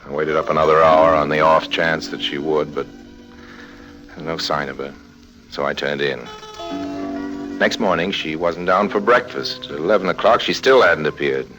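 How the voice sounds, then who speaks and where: A man playing a wry, smooth-talking American con artist who also narrates his own adventures in 1950s radio drama style. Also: rumbling low, moderate pace, long pauses, over music, poor audio. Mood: wry storytelling. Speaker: lead actor and first-person narrator. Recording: old 1950s radio recording.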